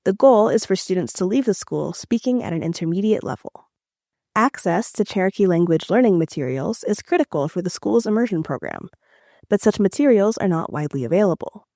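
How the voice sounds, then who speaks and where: A woman's voice: medium pitch, a little fast, no room sound, clean studio sound. Woman reporter narrating a radio feature. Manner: clear, even storytelling.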